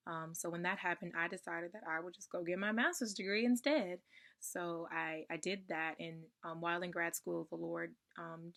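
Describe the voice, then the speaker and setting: A young woman getting over a cold, her voice slightly raspy, sharing her personal testimony in a soft-spoken way with frequent ums. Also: lowish voice, steady tone, normal speed. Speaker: ministry member sharing testimony.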